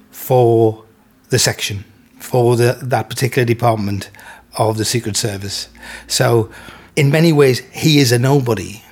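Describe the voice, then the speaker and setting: A man in an interview, explaining in a measured way. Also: medium pitch, breathy edge. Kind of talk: interview answer.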